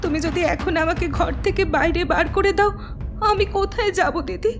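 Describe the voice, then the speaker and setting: Cartoon young bride: extremely high, meek, tearful pleading over music. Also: female voice, a little fast. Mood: desperate pleading. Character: meek pregnant young wife, begging.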